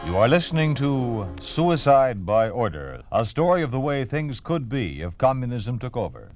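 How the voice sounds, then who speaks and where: Dramatic, lively-pitched male radio announcer. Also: normal speed. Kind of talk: scripted old-time radio drama narration. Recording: dull, lo-fi old radio recording.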